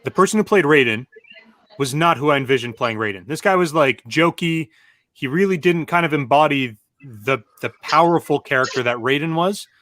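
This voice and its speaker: A man giving opinions as a show panelist, griping emphatically in casual, halting speech. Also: a little high, a bit bright, no echo. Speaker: panelist on a video game movie show.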